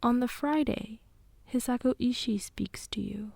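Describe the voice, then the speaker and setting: High, mellow woman telling a dramatic story. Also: unhurried, vocal fry, dry recording, clean studio sound. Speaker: narrator and host. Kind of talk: second-person dramatic storytelling narration.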